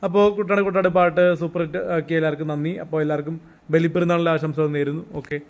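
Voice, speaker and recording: A man talking casually as a promoter, halting and repeating himself. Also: fairly high pitch, steady tone, over music. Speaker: song promoter. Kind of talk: casual promotional talk with fillers.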